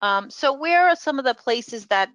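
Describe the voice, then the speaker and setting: A middle-aged professor with a New York accent speaks in a bright, forceful tone. She explains a program over slides in a webinar, filling pauses with ums. The recording is dry and close. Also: sits high, lively intonation, dry recording. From New York City. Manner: explanatory, filler-heavy. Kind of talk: webinar presentation.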